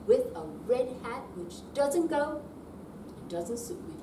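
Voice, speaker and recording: A woman's voice: sits high, big pitch swings, a little slow, dark tone. An older woman giving a dramatic amateur reading of humorous verse at a live readers theater performance. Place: indoor senior center room, live performance. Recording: roomy, distant mic, noisy hall.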